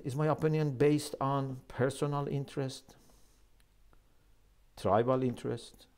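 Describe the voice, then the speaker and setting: A man's voice: mid-range voice, a little mellow. A middle-aged man gives a religious lecture in an earnest, emphatic manner, speaking into a lapel mic in a small office. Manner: earnest, emphatic, gestures with his hands. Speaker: Islamic scholar lecturing.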